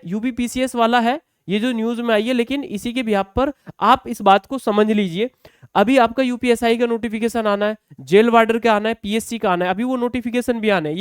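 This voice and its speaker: A man's voice: high voice, clean tone, dry recording, studio quality. A man explaining exam news to students as a coaching teacher, conversational and often checking in with short tag questions.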